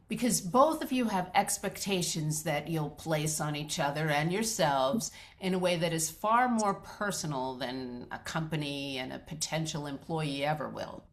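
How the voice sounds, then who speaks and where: Woman playing a low-voiced, patient therapist. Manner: measured, gentle, professional. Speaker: actor at a script reading. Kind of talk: dramatic script read.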